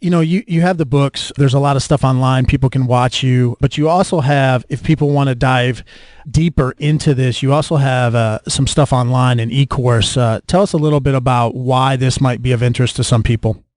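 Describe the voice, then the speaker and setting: A man's voice: medium pitch, steady tone, brisk, a little mellow, no echo, clean studio sound. An American man hosting a casual interview in a conversational style. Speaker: interviewer, podcast host.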